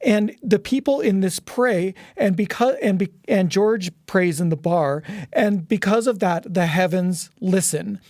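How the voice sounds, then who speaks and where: A man with a rather high voice discusses film as a podcast guest. He talks like a storyteller, voicing quotes as he goes. The sound is dry. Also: a little slow, few pauses, a little mellow, dry recording. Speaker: podcast guest, audio drama producer.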